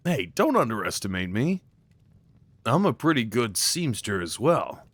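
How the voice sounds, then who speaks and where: A man's voice: medium pitch, big pitch swings, measured pace, fairly bright tone, dry recording. A man playing an affectionate, playful boyfriend in an intimate ASMR roleplay, talking tenderly and rambling with light teasing. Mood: relaxed, rambling. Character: affectionate, playful, crafty boyfriend cuddling his partner.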